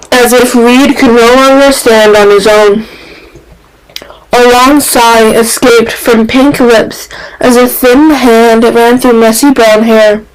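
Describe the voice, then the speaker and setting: A woman's voice: fairly high pitch, poor audio. A woman reading scripted fan fiction narration as a podfic narrator.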